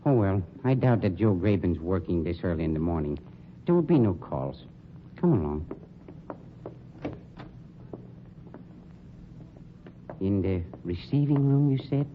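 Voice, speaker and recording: An actor playing a polished, sinister funeral home owner, sarcastic and condescending, in old radio mystery style. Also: male voice, sits low, big pitch swings, moderate pace. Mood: suave, businesslike. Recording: dull, noisy old radio recording.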